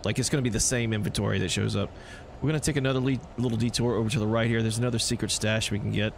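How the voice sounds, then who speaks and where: A man's voice: on the low side, steady tone, rapid-fire, gravelly, a little hiss or hum. A man giving casual let's play commentary, chatting away with joking asides to the game's characters. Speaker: gameplay host. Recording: game music behind the voice. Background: video game music and action sounds.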